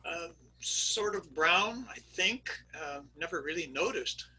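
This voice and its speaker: A man's voice: a little high, animated, takes it slowly, sharp tone, breathy edge, full character voice, rough recording. A man playing a flustered middle-aged husband who hems and haws about his missing wife, read over a video call for a readers theater skit. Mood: vague. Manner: hesitant and vague about his wife, then eager and detailed about his truck.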